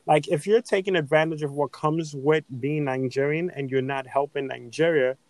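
A man's voice: fairly high pitch, steady tone, normal speed, darkish tone, dry recording. A man co-hosting a podcast, making his points earnestly and persuasively in casual conversation. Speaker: podcast co-host.

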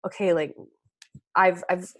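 A woman's voice: fairly low pitch, level tone, unhurried, no echo. A French Canadian woman whose first language is French, chatting as a podcast guest with many fillers and restarts. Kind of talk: casual podcast chat.

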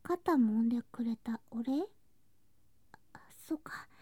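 A woman acts out a sweet, teasing younger girl cousin at bedtime in an ASMR voice drama. Her voice is high, soft and breathy, often slipping into whispers. She talks slowly and drowsily, coaxing with childlike affection, in very dry, close sound. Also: dry recording. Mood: tender whispering. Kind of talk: ASMR situation voice drama, intimate bedtime roleplay.

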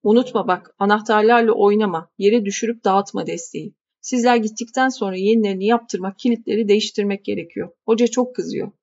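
A woman puts on a gruff village housekeeper in a storybook, curt and grumbling, always ready with a warning. The voice sits a little louder than her plain reading. The sound is slightly muffled. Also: mid-range voice, brisk, hardly any pauses, lightly acted. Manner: curt, scolding.

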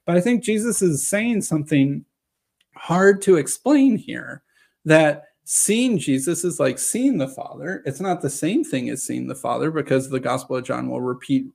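A slightly hoarse man giving a measured lecture, reading scripture passages aloud and explaining them. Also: fairly high pitch, expressive, darkish tone. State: slightly hoarse from long talking. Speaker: video presenter on scripture. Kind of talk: lecture-style reading and commentary.

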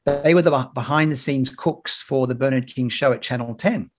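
A man in his fifties with an Australian accent, a broadcaster telling stories as a podcast guest in a relaxed way, with many fillers and restarts. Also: mid-range voice, normal speed. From Sydney, Australia. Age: 50s. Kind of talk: casual interview chat. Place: home near a rail line.

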